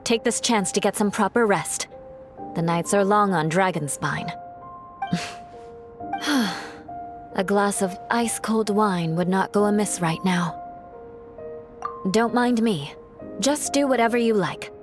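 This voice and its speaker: A woman plays a proud young noblewoman knight from a video game. The delivery is formal, poised and aloof, at a medium pitch, with faint music underneath. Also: slow, long pauses, natural voice. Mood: resigned, cool.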